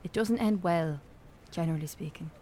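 A woman's voice: lowish voice, normal speed, dark tone, slight background noise, natural voice. An actress playing a frank, concerned small-town Irish woman, blunt and earnest, in naturalistic radio drama.

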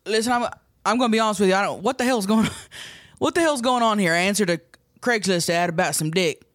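A man's voice: high-pitched, fast talker, a bit bright, dry recording, studio quality. A man playing a brash, crude new-money woman on a comedy dating show, talking in blunt, casual slang. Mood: confused, blunt. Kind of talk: improvised comedy sketch.

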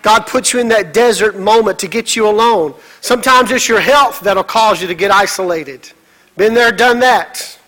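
A man's voice: sits high, moderate pace, bright, forceful tone, poor audio. A middle-aged man preaching a sermon as a pastor, emphatic, with repeated exclamations and rhetorical questions.

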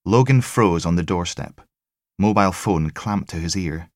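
Very deep male narrator, dry sound. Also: normal speed, a little mellow, dry recording. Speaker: audiobook narrator. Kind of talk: scripted narration of a crime thriller.

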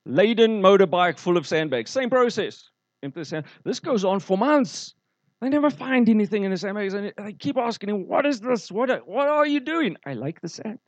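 Male pastor, slightly high voice, storytelling sermon, muffled, dry recording. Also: lively intonation, moderate pace, dry recording. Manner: storytelling preacher, asks the congregation questions.

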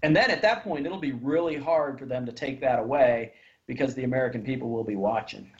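Ex-senator guy explaining how it works, slow and steady, dull lo-fi sound. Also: medium pitch. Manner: measured, explains step by step with fillers. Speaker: podcast guest, former state senator. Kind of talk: casual political interview.

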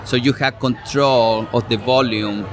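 A man's voice: mid-range voice, fairly even intonation, measured pace, music in the background. A middle-aged man from Chile with a Chilean Spanish accent, a fruit specialist explaining his work in an interview with frequent fillers and restarts. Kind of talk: relaxed interview answers. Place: outdoor farm show event.

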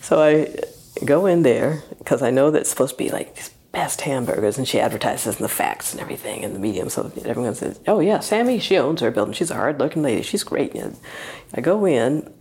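A woman's voice: a bass voice, expressive, fairly quick, darkish tone, husky. A woman telling a funny anecdote in a dry, wry manner, sometimes dropping almost to a whisper. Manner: dry, wry storytelling, drops to near whispers. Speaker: community interviewee. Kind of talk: humorous anecdote.

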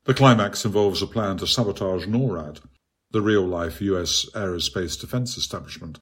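Low-pitched, husky man reading an essay. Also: normal speed. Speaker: author reading own article. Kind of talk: scripted literary essay narration.

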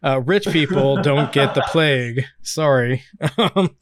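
A man hosting a casual political podcast, talking in halting bursts with many fillers and restarts. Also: medium pitch, noisy background. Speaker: podcast host.

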